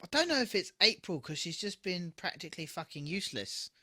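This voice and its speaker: A man with a highish, very bright voice and lively intonation co-hosts a casual re-watch podcast. He is blunt and opinionated, swearing freely as he chats. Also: brisk, no room sound, clean studio sound. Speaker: podcast co-host. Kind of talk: casual unscripted TV re-watch chat.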